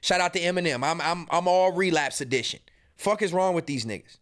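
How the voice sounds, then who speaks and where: Male podcast host in his thirties, high voice, heated and taunting reaction commentary. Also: a little fast, a bit bright. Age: 30s. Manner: profane, mocking, confrontational.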